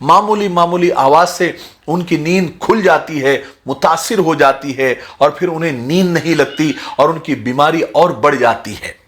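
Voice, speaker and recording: A man giving an earnest, measured address to his viewers as a religious motivational speaker, in formal wording. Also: on the high side, a bit bright. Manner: measured, appealing, formal vocabulary.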